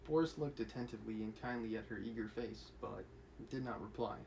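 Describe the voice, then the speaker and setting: A man's voice: lowish voice, fairly quick, creaky, darkish tone, slight background noise. A man reading a classic novel aloud on a livestream, with casual asides to his chat. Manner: casual, reads with light character voices and laughs between asides. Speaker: livestream reader.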